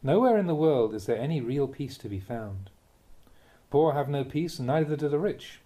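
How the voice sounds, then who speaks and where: A man with a mellow, soft-edged voice reads a spiritual teaching aloud as a monk would. His phrasing is measured and deliberate, in short clauses, and his mid-pitched voice rises and falls expressively. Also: animated. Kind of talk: read-aloud dharma talk, audiobook narration.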